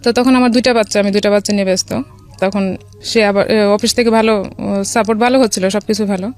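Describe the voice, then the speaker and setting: A woman's voice: medium pitch, fairly even intonation, quick pace, a little mellow. A middle-aged woman with a Bangladeshi accent tells a personal story in a radio interview, conversationally, with some English words mixed in. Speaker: guest telling her life story. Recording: music behind the voice. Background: background music.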